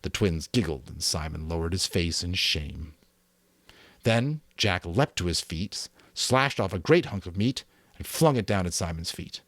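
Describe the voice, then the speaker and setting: A man's voice: deep voice, measured pace, no echo. A man narrating a novel as a dramatic audiobook reading, then chatting casually to his viewers with fillers. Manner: expressive reading, casual rambling asides with fillers. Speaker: audiobook narrator and channel host.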